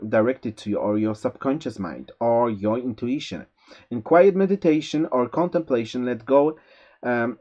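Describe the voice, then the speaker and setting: A man with a Polish accent reading a tarot forecast, giving gentle spiritual advice with many fillers and restarts. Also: mid-range voice. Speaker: tarot reader. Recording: slightly dull lo-fi sound.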